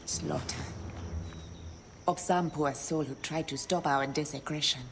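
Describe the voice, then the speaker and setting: An actress playing a weary, guilt-ridden shopkeeper who speaks in a measured, guarded way with whispered drops in a game cutscene. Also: female voice, low voice, fairly even intonation, background noise.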